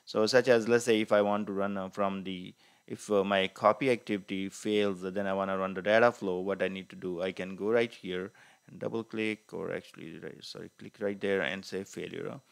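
A man presenting an informal screen-share software tutorial, explaining in a halting, hesitant way and correcting himself as he goes. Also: deep voice, fairly even intonation, creaky, smooth. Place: voice-over on a screen recording.

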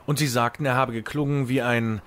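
A man's voice: mid-range voice, steady tone, brisk, a bit bright, slight background noise, close to an everyday voice. An actor playing a blunt, unimpressed policeman in a German audio drama, firm, dry and matter-of-fact.

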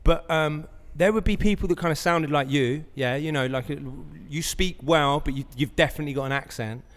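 A man's voice: fairly high pitch, fairly quick, long reverb tail. A man hosting a podcast interview, asking casual questions full of fillers. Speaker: podcast host. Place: large bright studio, indoors. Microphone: stand mic.

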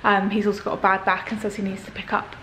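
A woman's voice: medium pitch, flat delivery, fast, pushed and bright, slight background noise. A young woman in her twenties, chatting casually as she films a tour of a rented house with a handheld camera. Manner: chatty, upbeat, rambling with fillers. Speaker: vlogger. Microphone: handheld camera at arm's length.

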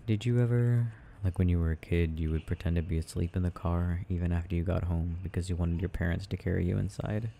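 A young man playing an affectionate, gently teasing boyfriend in an ASMR audio roleplay, murmuring and rambling as he games. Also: low-pitched, fairly even intonation, fast, gravelly, hardly any pauses, a little hiss or hum. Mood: tender, reassuring.

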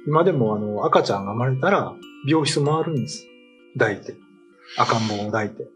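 A man's voice: medium pitch, expressive, a little fast. A middle-aged man explaining local lore in casual talk show conversation. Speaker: writer and co-host telling local ghost lore. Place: small meeting room, indoors. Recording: music playing behind the voice. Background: background music.

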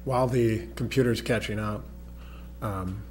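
A man's voice: on the low side, steady tone, unhurried. Man, district official, careful explainer at a meeting, faint hum in the room. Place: school board meeting room.

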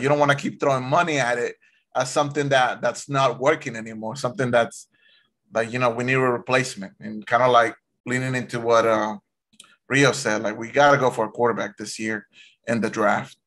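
A man's voice: mid-range voice, a bit bright. A man in his thirties co-hosting a fan podcast over a video call, talking loosely and animatedly. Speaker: podcast co-host. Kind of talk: casual sports chat. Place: home room, video call.

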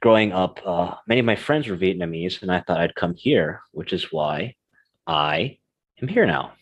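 A man's voice: deep voice, moderate pace, husky. A young American man co-hosting a language lesson podcast, chatting casually with plenty of fillers. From United States. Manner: relaxed, chatty, many fillers. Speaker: co-host.

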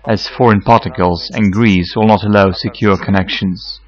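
A man in his forties giving a measured, scripted how-to explanation as a technical consultant. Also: fairly low pitch, fairly even intonation, muffled recording. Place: electronics workshop, indoors.

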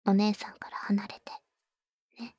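A tender yet bold vampire woman, acted by a voice actress for an ASMR audio drama. Her voice is soft, breathy and dark, often near a whisper. She speaks slowly and protectively, with cool boyish phrasing, very close to the mic. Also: medium pitch, level tone, no room sound. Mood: gentle, wistful. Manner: husky, cool, boyish speech. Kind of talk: Japanese ASMR audio roleplay. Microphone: close studio microphone, dry.

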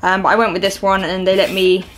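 A woman's voice: mid-range voice, little pitch movement, fast, a bit bright. A young woman in her twenties chatting casually while unboxing at home on camera, rambling with lots of ums and uhs. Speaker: video host. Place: small indoor room at home, seated on a sofa.

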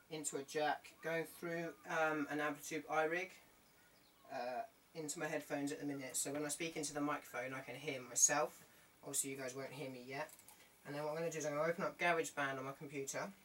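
A man's voice: on the high side, a bit bright. A young man giving a casual tech demo, recorded on a camera mic in a small bedroom. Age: young adult. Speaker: video tutorial host. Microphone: camera across the room. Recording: distant camera mic with room noise.

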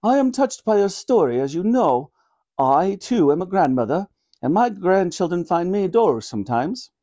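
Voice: mid-range voice, sing-song, normal speed, mellow, pitched above the performer's own voice, lightly acted. A reader voicing a stately elderly Queen in clipped, formal tones for a children's storybook. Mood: reflective, softened.

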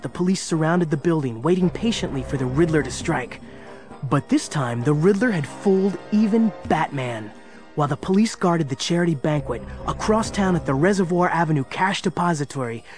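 A man as suspenseful superhero-story narrator, slightly high. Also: close to an everyday voice. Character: dramatic male storyteller of a superhero adventure. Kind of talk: scripted dramatic narration. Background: dramatic music.

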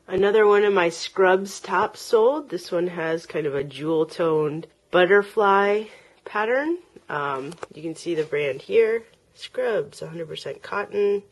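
A woman's voice: lowish voice, expressive, slow. A woman chatting casually as she shows off items she has sold online, with plenty of ums and uhs, recorded at home over close-up tabletop shots. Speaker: online reseller. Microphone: handheld camera close-up, speaker off-camera.

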